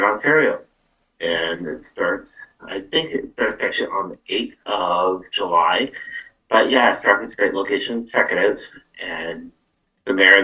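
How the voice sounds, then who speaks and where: A man's voice: low voice, sharp tone, muffled recording. A man hosting a live psychic card reading in casual, rambling talk, often repeating words and tagging phrases with "though". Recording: distant from the microphone.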